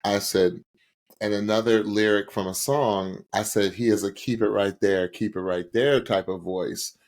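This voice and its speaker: A man with a low-pitched voice talks as a podcast co-host. He reacts in loose, casual commentary, gushing and doubling up words to stress his points. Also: fairly even intonation, moderate pace. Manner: gushing, repeats words for emphasis.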